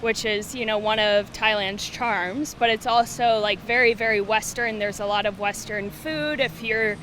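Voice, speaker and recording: A woman's voice: mid-range voice, normal speed, fairly bright tone. A woman giving casual, conversational interview answers. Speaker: street interviewee. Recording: outdoor street with traffic noise.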